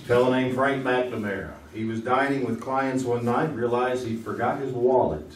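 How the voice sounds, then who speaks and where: A man plays a genial 1950s game show host with a dry, wry streak. His mid-pitched voice comes from a distance across an echoing theater. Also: fairly even intonation, normal speed, close to an everyday voice. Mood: wry, amused. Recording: echoey theater, distant, loud background noise.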